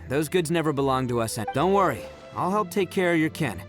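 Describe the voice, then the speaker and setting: A man's voice: a little high, expressive, fairly quick, soft background music, lightly acted. A man voicing a brash, big-hearted young Oni gang leader in a video game, with a boisterous, swaggering manner. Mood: breezy, reassuring. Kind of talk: dramatic English game voice acting.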